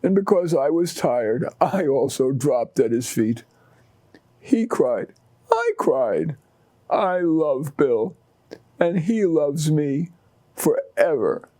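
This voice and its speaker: A man's voice: on the high side, animated, slow pace, mellow, dry recording. A man voicing a tired old dog who tells his story in a tender, plain-spoken way. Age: older. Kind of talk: first-person short story reading.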